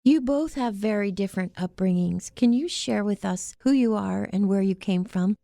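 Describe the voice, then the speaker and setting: A woman hosting a podcast, reading the show intro and asking questions in a clear, unhurried, scripted way. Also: medium pitch, mellow, no room sound. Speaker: podcast host.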